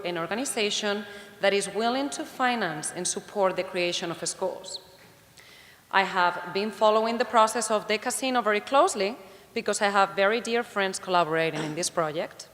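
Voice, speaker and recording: A woman's voice: medium pitch, fairly bright tone. Young woman, formal prepared statement, echo of a large hall. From Spain. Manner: formal, reads from prepared remarks. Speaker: public commenter. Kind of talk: prepared public testimony. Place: large public hearing hall. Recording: long hall reverb.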